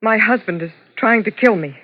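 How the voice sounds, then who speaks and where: An actress in a 1950s radio suspense drama playing a frightened but composed married dancer, telling her story in a measured, hesitant way. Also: medium pitch, no room sound, lightly acted. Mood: anxious, composed. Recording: muffled, hissy 1950s radio recording.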